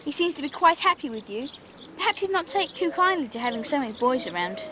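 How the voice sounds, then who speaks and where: Cocky Victorian schoolboy: high, very animated English voice, breezy teasing, radio drama. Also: female voice, fast talker, heavily acted. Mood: breezy, mocking. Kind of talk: 1990s British radio drama acting.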